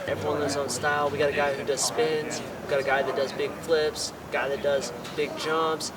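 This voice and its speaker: A man's voice: on the high side, a little fast, over a noisy crowd. Nineteen-year-old guy, distant outdoor mic. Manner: relaxed, rambling with fillers. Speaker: parkour athlete interviewee. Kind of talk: casual interview. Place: outdoors on a campus.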